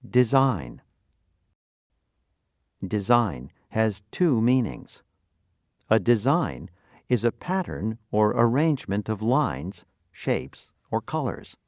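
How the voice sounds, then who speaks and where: A man narrating a scripted vocabulary lesson in deliberate, clearly separated phrases. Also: fairly low pitch, sing-song, a little mellow, no echo, clean studio sound.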